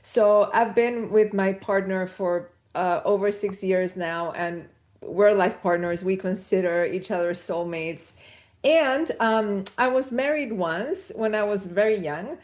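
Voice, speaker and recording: A woman's voice: medium pitch, unhurried, darkish tone. Chatty woman from Chile telling stories. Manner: chatty, storytelling, laughs mid-sentence. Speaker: podcast guest. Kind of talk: casual conversation.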